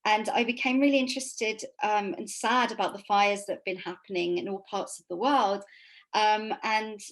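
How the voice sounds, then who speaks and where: A woman answers interview questions in an informal, conversational way. She is reflective and halting, working her thoughts out as she speaks, at a middling pitch. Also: fairly even intonation, a bit bright. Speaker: author being interviewed.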